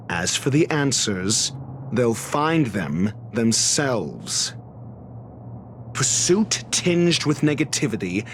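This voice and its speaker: Arrogant, unhurried scholar-doctor. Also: male voice, mid-range voice, slight background noise. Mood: composed, aloof. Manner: pompous, measured, formal theatrical phrasing. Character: arrogant, erudite male scholar-doctor, fastidious and disdainful of fools. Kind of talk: scripted video game cutscene dialogue.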